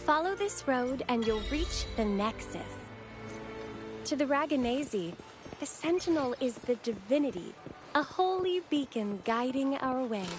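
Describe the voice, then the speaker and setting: A gentle, devout young woman of a holy order in a game. Her voice is very high and lilting, with courteous, formal phrasing over background music. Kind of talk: video game voice acting.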